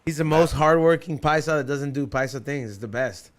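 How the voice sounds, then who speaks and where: A man's voice: fairly high pitch, a little fast, crowd noise. A man co-hosting a podcast, teasing the others and rambling, filling his sentences with "like". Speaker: co-host. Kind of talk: casual podcast banter.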